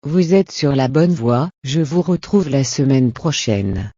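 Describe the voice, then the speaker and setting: A man's voice: medium pitch, animated, slow, mellow, no echo, lo-fi sound. A man presenting a scripted lesson in an encouraging, instructional way. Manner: encouraging, didactic.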